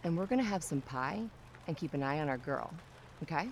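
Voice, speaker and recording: A woman's voice: sits low, lively intonation, a little fast, slight background noise. An actress playing a teasing, self-assured young woman, a protective friend, in an audio drama. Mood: teasing, reassuring. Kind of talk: audio drama acting, conversational.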